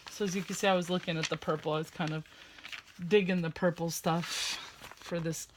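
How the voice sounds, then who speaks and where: A woman with a slight lisp and a loud, carrying voice, chatting casually and rambling a bit while she works on a craft project at home. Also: lowish voice, steady tone, a little fast, noisy background. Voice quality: loud voice that carries. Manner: chatty, rambling, with frequent fillers. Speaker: crafting vlogger.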